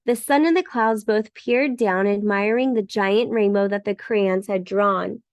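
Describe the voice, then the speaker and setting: A woman reading a bedtime story for children in an expressive, emphatic way. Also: medium pitch, a little slow, dry recording, clean studio sound. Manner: expressive, emphatic with repeated words. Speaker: storybook reader.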